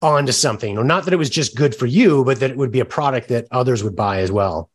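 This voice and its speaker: A man's voice: mid-range voice, fast talker, husky. Chatty male podcast host, mid-range voice. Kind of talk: casual conversational interviewing.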